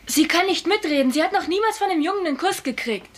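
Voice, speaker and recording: High, petulant teenage girl. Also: expressive, fast. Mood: snippy. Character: vain, touchy teenage daughter. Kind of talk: German sitcom dubbing.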